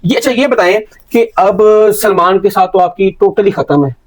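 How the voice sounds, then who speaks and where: A man's voice: sits high, animated, normal speed, poor audio. A man with a Pakistani accent hosting an interview, pressing his guest with repeated, probing questions. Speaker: interviewer and host. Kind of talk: probing street-level YouTube interview.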